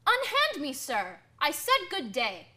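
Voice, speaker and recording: A woman's voice: sits high, wildly swooping pitch, takes it slowly, bright, forceful tone, exaggerated voice. A woman playing a proud, superstitious village washerwoman in a radio drama, folksy, emphatic and scolding. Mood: indignant.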